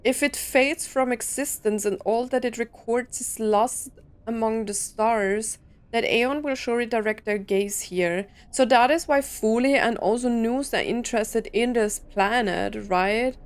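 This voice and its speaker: A woman's voice: fairly high pitch, measured pace, smooth. A woman gaming streamer giving casual reaction commentary, thinking aloud as she goes. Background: game music.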